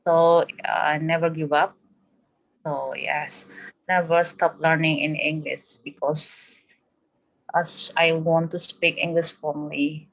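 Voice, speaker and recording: A woman student on a video call, speaking halting, hesitant English while giving class feedback. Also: sits low, little pitch movement, poor audio.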